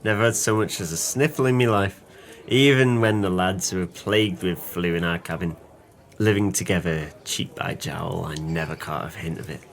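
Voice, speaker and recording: A low-pitched, merry drunken seaman in an audio drama. He speaks in grand, flowery phrases and boasts heartily, like a penniless man delighted with himself. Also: normal speed. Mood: cheerful, opinionated. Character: jovial, tipsy, impoverished former sailor, florid and boastful. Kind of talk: audio play acting.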